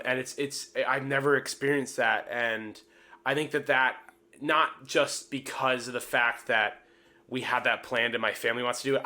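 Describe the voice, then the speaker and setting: A man's voice: medium pitch, sharp tone. A man chatting casually on a podcast, wordy and prone to repeating himself for emphasis. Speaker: podcast participant.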